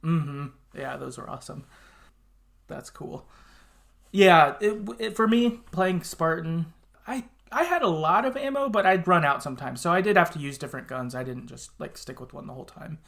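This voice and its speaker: A man's voice: a little high, lively intonation, normal speed, gravelly, fairly bright tone. A man hosting a casual gaming podcast, talking informally and often qualifying what he says. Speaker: podcast host.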